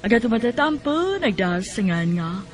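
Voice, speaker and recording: A woman's voice: on the high side, big pitch swings, moderate pace, over loud music. A woman announcer with a Cebuano Filipino accent reading a scripted introduction to a radio drama.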